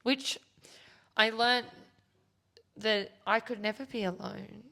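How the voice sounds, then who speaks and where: A woman's voice: fairly high pitch, steady tone, slow, vocal fry, bright, forceful tone. A young Australian woman hosting a casual podcast, chatty and giggly, often correcting herself, her voice a little worn. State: slightly losing her voice. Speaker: podcast host and gym founder. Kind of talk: casual chat.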